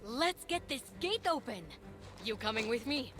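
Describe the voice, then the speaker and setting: High-pitched, plucky young game heroine. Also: female voice, animated, measured pace, over music. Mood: encouraging. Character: plucky young heroine, admiring little sister. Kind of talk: video game cutscene acting.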